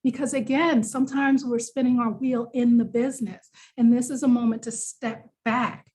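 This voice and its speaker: A woman's voice: a little high, level tone, moderate pace, a little mellow, slightly rough. A woman with a New York accent presenting a workshop in a conversational, encouraging, explanatory way, leaning on key words for emphasis. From New York, United States. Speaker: workshop presenter, business coach.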